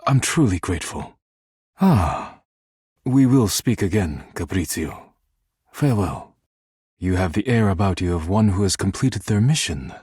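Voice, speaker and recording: Video game role: stern living-dishware man, deep register, close dry sound, clipped formal delivery, deadpan and proud. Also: measured pace, slightly rough, no echo, heavily acted. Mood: grateful, solemn. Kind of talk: scripted game character dialogue.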